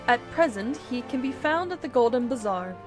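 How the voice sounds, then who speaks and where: A woman voicing a haughty, disdainful female character in a fantasy game, in a measured, scornful way. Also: fairly high pitch, animated, over music, natural voice. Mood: cool disdain. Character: haughty, disdainful woman grudgingly giving directions.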